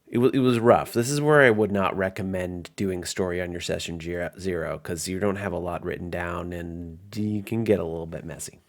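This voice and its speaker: Man, lowish voice, game master, casual commentary, rambling, self-deprecating, frequent restarts.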